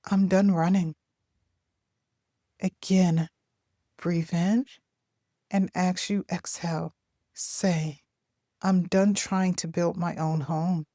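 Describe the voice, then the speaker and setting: A woman narrating a soothing guided meditation in a gentle, deliberate way, leaving long pauses between phrases. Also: lowish voice, dry recording, clean studio sound.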